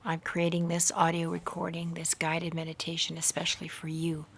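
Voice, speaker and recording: A woman leading a slow guided meditation in a measured, hushed voice that often softens into breathiness, recorded outdoors. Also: lowish voice, monotone, husky. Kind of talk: slow, soothing guided meditation with hypnotic induction. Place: outdoors in a garden. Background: faint outdoor garden ambience.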